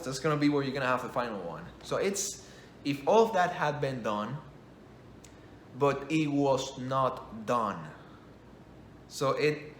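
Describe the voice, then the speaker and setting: A man's voice: mid-range voice, slight background noise. Rambling man, non-native English speaker. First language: not English. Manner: rambling, self-correcting, enthusiastic. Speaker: game commentator. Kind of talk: casual spoken review. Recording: echoey room, faint ambience.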